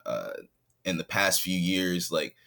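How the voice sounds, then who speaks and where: Deep-voiced laid-back rapper guest. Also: male voice, sing-song, slow pace, creaky, sharp tone. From San Diego, California. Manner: laid-back, chatty, laughs often. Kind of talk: casual podcast interview. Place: home in Hawaii, remote call.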